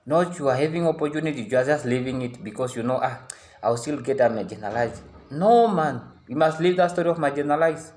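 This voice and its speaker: A man's voice: mid-range voice, a little fast, darkish tone. A young man makes an earnest appeal in English, his after a San tongue. His voice sits in an ordinary middle register. He pleads and repeats himself, restarting sentences as he pushes his case. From Namibia. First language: a San language. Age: young adult. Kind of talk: impassioned public appeal.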